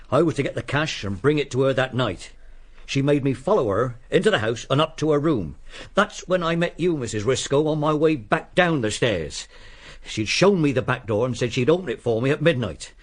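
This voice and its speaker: A man's voice: medium pitch, lively intonation, fairly quick, close to an everyday voice. An actor playing a blunt, defensive murder suspect, a grudging little man, in a radio detective drama. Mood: resentful, confessing.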